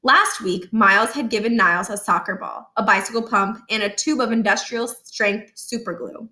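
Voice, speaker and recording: A woman narrating a children's book aloud in an expressive storytelling style. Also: medium pitch, normal speed.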